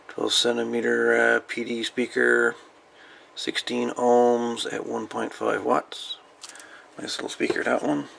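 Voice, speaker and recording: A man's voice: mid-range voice, level tone, takes it slowly, gravelly, fairly bright tone, slight background noise. A man hosting a hobby video, chatting casually and unscripted in a folksy way as he shows things off. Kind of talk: casual show-and-tell of salvaged radio parts.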